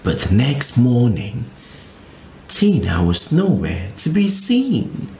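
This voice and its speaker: Animated slow man reading a picture book. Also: mid-range voice. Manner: expressive, very animated storybook reading. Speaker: children's storyteller. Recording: echoey room with faint ambient noise.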